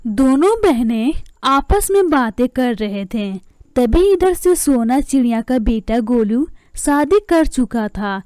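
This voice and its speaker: A woman's voice: high-pitched, expressive, slow, mellow, dry recording. A woman narrating a scripted story as a storyteller.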